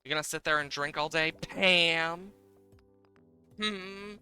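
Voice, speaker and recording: Bright, animated-talking man streaming games. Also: a little high, slow, smooth, soft background music. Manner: playfully exasperated, swearing, exclaiming and talking to the game. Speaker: livestreamer. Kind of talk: casual gaming livestream commentary.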